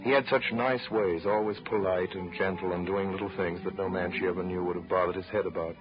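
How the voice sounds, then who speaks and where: A man's voice: sits low, steady tone, quick pace, close to an everyday voice. A man narrating a 1930s radio drama from a script in a lyrical storytelling manner. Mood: fond, confiding. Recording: muffled 1930s radio, music behind.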